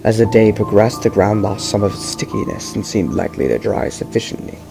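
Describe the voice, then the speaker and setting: A young man reading a horror story aloud in a slow, measured first-person voice, stumbling now and then over long words. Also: fairly low pitch, creaky. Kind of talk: amateur audiobook reading. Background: loud background music.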